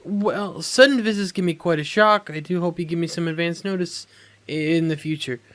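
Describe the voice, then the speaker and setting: A man's voice: a little high, normal speed, a bit bright, dry recording, close to an everyday voice. A man voicing a shy, jumpy young clan warden for a fan-made game series, hesitant, stammering and polite. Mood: startled, polite.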